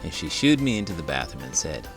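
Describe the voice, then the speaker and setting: A man's voice: a bass voice, very animated, fast, gravelly, music in the background, low-quality recording. A man reading a children's book aloud as host, theatrical and full of big, silly emotion.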